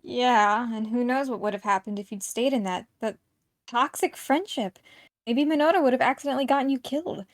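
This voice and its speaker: A slightly high, dry-recorded voice of a caring teenager in a visual novel, played by a woman. The delivery is forthright and insistent, earnest throughout. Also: a little fast, dry recording, close to an everyday voice. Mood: firm, reassuring.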